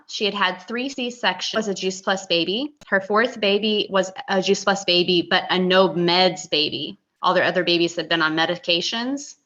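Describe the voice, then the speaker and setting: A woman on a video call recapping inspiring moments from an event, speaking in animated bursts. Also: medium pitch. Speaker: team member sharing highlights. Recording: muffled video-call audio.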